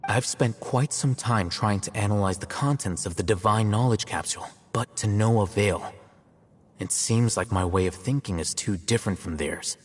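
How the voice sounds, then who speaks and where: A man playing a calm, detached young scholar in a video game, explaining things in measured, articulate lines. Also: on the low side, husky, in a large hall. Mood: cool, analytical. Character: calm, coolly analytical young male scholar and scribe. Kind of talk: video game voice acting, scripted dialogue.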